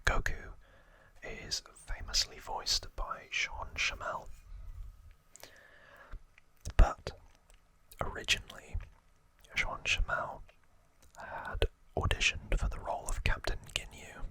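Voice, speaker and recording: A man hosting ASMR, whispering informal trivia narration in a hushed, rambling way with casual asides. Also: takes it slowly, pauses often, poor audio. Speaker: ASMR host.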